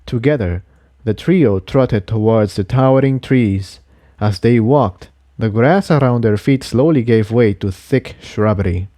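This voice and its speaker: A man reading a scripted adventure story as narrator in a measured way. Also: on the low side, warm tone, dry recording, studio quality. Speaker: audiobook reader of fan fiction.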